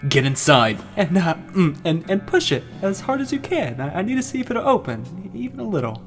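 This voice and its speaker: A woman playing a jittery, sly property buyer in an audio drama, halting and repeating words with nervous chuckles. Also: lowish voice, big pitch swings, faint music behind. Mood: sly, insistent.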